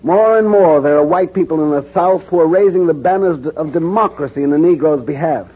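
An actor playing a reporter who narrates his own ordeal in measured, grave first person, in a 1940s radio drama. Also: fairly high pitch, natural voice. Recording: dull 1940s radio broadcast recording with music.

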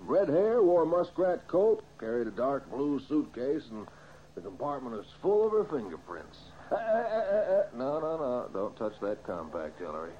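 A man's voice: medium pitch, big pitch swings, moderate pace, dark tone, lightly acted. An American man playing a wry, folksy older police inspector and father in an old-time radio drama. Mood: businesslike. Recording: muffled, hissy old radio recording.

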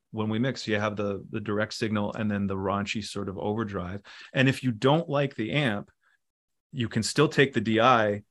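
A middle-aged man talking casually in an interview, relaxed and rambling, with many fillers and restarts. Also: lowish voice. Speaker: musician and producer guest.

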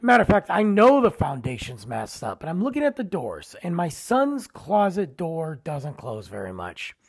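A man hosting his own podcast in a casual, rambling way, tired and losing his voice, often trailing low at the ends of phrases. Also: huge pitch swings, moderate pace, husky. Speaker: podcast host. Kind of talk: casual solo podcast intro.

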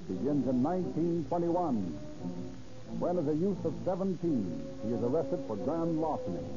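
A man narrating a scripted 1930s radio crime drama in a formal, dramatic delivery. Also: fairly high pitch. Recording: muffled old radio recording.

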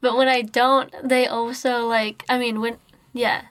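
A woman's voice: a little high, level tone, a little slow, fairly bright tone, no echo. A woman, chatting informally in an interview and peppering her talk with "like". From New Zealand, born in Nepal. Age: late teens. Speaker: podcast guest, student. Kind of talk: casual interview chat.

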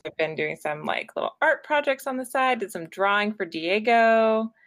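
Relaxed woman in casual podcast chat. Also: medium pitch, moderate pace, dry recording, clean studio sound. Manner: relaxed, laughs easily, many "like" fillers. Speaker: podcast co-host.

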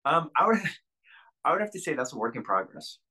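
A man's voice: fairly high pitch, very animated, moderate pace, pushed and bright, a little hiss or hum. A man speaking as a podcast guest, talking candidly and rambling, with many fillers and restarts. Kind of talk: candid interview talk.

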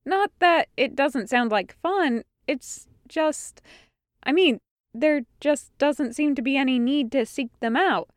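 A woman's voice: high-pitched, slow, dry recording, clean studio sound, voice pushed up. A woman voicing an innocent, anxious young woman in a story, flustered, hesitant and eager to please. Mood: flustered, earnest. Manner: flustered, hesitant, literal-minded.

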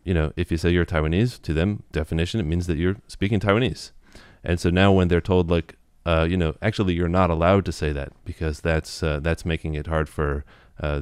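Quick, low-voiced man explaining. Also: a little mellow, clear, resonant tone, no echo, clean studio sound. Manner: quick, thoughtful, full of "you know" and restarts. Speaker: interview guest. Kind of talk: relaxed explanatory interview talk.

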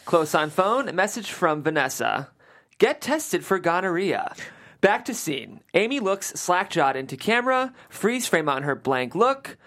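A low-voiced woman reads stage directions at a table read. Her pitch moves widely as she emphasizes each screen cue and caption. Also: sing-song, unhurried, a bit bright. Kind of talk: script read aloud at a table read.

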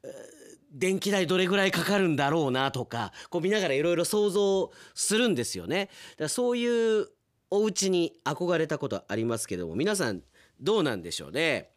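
A man's voice: on the high side, very animated, brisk, no room sound, studio quality. A man hosting a radio show, chatting casually and playfully like an announcer, with conversational asides.

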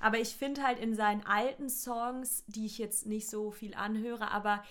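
A young woman co-hosting a podcast, chatting casually about music, talking in quick bursts and laughing often. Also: fairly high pitch, fairly even intonation. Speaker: podcast co-host.

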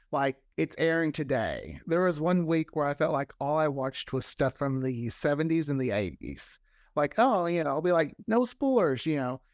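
Higher-pitched man chatting casually. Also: no room sound, clean studio sound. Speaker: podcast creator guest. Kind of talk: casual interview chat.

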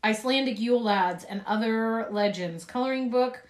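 A woman's voice: a little high, moderate pace. A woman co-hosting a podcast, chatting casually and joking while reading aloud from a book. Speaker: podcast co-host. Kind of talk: casual chat. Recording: echoey room.

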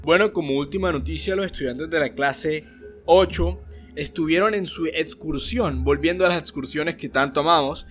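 A man's voice: on the high side, a bit bright. A man co-hosting a student school podcast, reading news items with casual chatty asides.

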